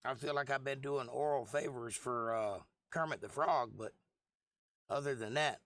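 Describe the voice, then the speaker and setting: A man with a hoarse, froggy voice hosting a casual livestream, rambling and joking at his own expense as he replies to viewers. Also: medium pitch, moderate pace, a bit bright, dry recording, clean studio sound. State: lost voice for months, throat clearing. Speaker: livestream host.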